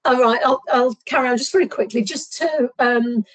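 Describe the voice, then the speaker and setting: A hoarse woman from a safeguarding board gives a short announcement during an online meeting, stopping often with little ums. Her voice sits on the higher side. The sound is dry and close, with no trace of a room. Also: normal speed, dry recording. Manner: hesitant, with frequent ums.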